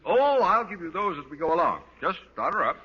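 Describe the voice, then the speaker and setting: A man's voice: sits high, very animated, fairly quick, sharp tone, breathy edge, heavily acted. An actor playing a pompous, imperious middle-aged principal and father in a 1940s radio sitcom. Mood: officious. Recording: dull vintage radio recording, long reverb.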